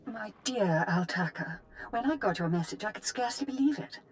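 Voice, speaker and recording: A woman's voice: mid-range voice, expressive, moderate pace, close to an everyday voice, poor audio. An actress playing a romantic, scheming noblewoman in a video game, reading a love letter in a genteel, theatrical manner. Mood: loving, scheming.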